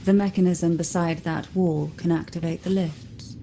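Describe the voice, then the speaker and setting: Woman acting a mellow, low-voiced enigmatic noblewoman. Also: steady tone, a little hiss or hum, lightly acted. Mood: coolly composed. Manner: measured, formal, silky delivery. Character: poised enigmatic noblewoman, softly teasing, cultured and secretive. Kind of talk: video game voice acting.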